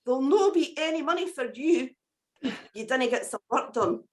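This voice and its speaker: A woman's voice: high voice, lively intonation, moderate pace. A woman with a Scottish accent voicing Jack's scornful, hardworking older brother in a folk tale.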